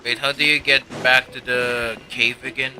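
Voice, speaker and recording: Young man, live streamer, very bright forceful voice, slangy rambling banter, faint music behind. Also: mid-range voice, level tone, moderate pace, rough recording. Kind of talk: casual game stream chat with friends. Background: faint game music.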